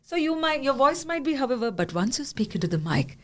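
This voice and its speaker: Woman podcast host, a bit high voice, lively, laughs a lot while she talks. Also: brisk. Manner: animated, laughing storyteller. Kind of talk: podcast conversation.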